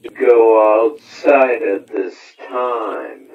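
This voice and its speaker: Very slow, sinister false announcer. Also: male voice, on the low side, steady tone, far from the mic, lo-fi sound, noisy background. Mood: eerie, commanding. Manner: slow, drawn-out commanding repetition. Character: sinister luring impostor of an emergency announcer.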